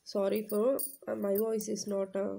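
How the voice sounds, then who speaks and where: A woman's voice: medium pitch, flat delivery, moderate pace, vocal fry, mellow, slightly rough. A woman teacher with a cold, explaining a lesson to her class with many fillers and checking questions. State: has a cold. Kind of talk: classroom lecture, reading then explaining.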